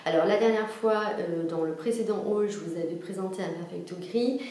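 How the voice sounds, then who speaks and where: A woman's voice: fairly low pitch, brisk, dark tone. A woman vlogger chatting casually to camera about fashion, listing items with frequent "euh" fillers. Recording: very echoey room with faint noise.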